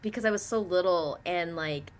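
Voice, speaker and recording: A young woman from Canada, a native Mandarin speaker, chatting as an interview guest in an animated way with many fillers. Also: on the low side, normal speed. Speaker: guest, actress and comedian. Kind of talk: casual interview chat.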